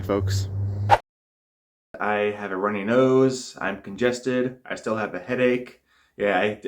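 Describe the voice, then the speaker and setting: Man vlogging his diet, casual. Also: fairly low pitch. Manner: candid, self-reporting how he feels. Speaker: fitness vlogger. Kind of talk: casual diet vlog narration.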